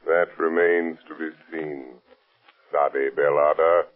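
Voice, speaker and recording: Unseen crime fighter: extremely low, slow, sardonic and commanding, old radio serial. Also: male voice, little pitch movement, narrow, tinny audio. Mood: mocking, sinister. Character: mysterious, mocking, unseen crime-fighting avenger. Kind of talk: 1930s radio acting. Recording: thin 1930s radio recording, organ-style music bed.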